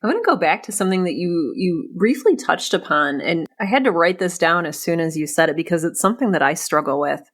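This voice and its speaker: A woman with a voice a little lower than most hosts a podcast interview. She sounds encouraging and conversational, and she reshapes her questions while speaking. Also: lively intonation, fast, hardly any pauses.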